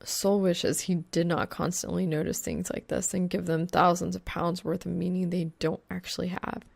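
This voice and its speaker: A woman narrates an audiobook of fan fiction. Her voice sits a little low and has plenty of vocal fry. The reading is expressive and scripted. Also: moderate pace.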